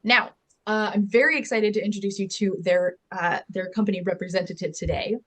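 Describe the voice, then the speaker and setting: A woman's voice: mid-range voice, fairly even intonation, brisk. A woman hosting a webinar interview on a video call, chatty and upbeat, with fillers. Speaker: webinar host.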